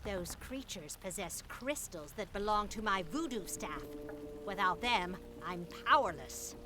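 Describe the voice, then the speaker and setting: A scheming voodoo priestess acted by a woman in an adventure game. Her delivery is theatrical and enticing, with wide swings of pitch, and music plays clearly behind her. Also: lively intonation, measured pace, natural voice. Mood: sly, challenging. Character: mysterious, scheming voodoo priestess seeking her lost power. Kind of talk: video game character acting.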